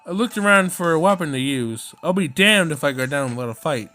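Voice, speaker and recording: High-voiced man in a game playthrough over music. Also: expressive, a little fast, sharp tone. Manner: exclamatory, reacting aloud in slangy casual speech. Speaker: let's play streamer. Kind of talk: visual novel let's play with read-aloud narration and reactions. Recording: prominent background music.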